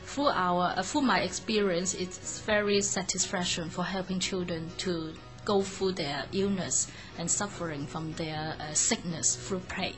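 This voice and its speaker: Low-pitched Hong Kong woman over music. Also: steady tone, measured pace, few pauses, low-quality recording. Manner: earnest, non-native English phrasing. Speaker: manager of a children's hospital play service. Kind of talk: prepared spoken presentation for a charity video. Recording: music behind the voice. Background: background music.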